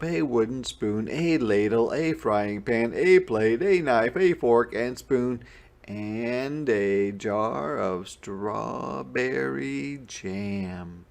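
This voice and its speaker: Guy reading a kids book out loud, super slow n deliberate, kinda gravelly. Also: medium pitch. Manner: deliberate, expressive storytelling. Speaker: storybook reader. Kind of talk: scripted children's read-aloud narration.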